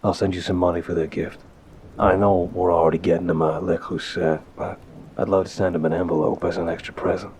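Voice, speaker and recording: A man playing a soft-spoken, apologetic mafia boss boyfriend in an intimate ASMR roleplay, hesitant and gentle, with a low, husky, breathy voice. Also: level tone, a little hiss or hum, natural voice. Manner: hesitant, gentle, sighing pauses. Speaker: audio roleplay performer. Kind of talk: ASMR audio roleplay, one-sided intimate phone call.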